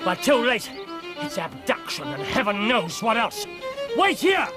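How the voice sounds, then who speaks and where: A Victorian detective, impatient, clipped, commanding, high voice, swinging pitch, radio play with music underneath. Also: male voice, wildly swooping pitch, a little slow, heavily acted. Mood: urgent. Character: brilliant Victorian male detective, sharp and impatient. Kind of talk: radio drama acting.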